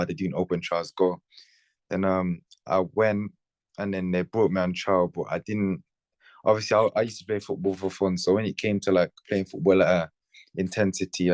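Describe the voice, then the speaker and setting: A man's voice: deep voice, a little mellow, no echo. A man, chatting casually in a laid-back, slangy way in an interview. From Birmingham, England. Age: late teens. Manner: laid-back, slangy, with tags like innit and you know what I mean. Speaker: footballer being interviewed.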